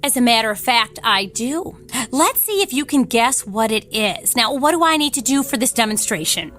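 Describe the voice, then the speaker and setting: A woman's voice: on the high side, sing-song, moderate pace, a bit bright. A woman playing a coy, flirtatious and vain morning TV host in a radio drama, with a theatrical, gushing delivery.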